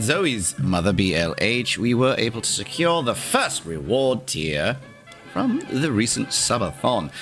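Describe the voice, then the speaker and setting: A man's voice: mid-range voice, a bit bright. A man hosting an in-character livestream, talking theatrically in a put-on accent with dry, opinionated asides. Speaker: streamer host.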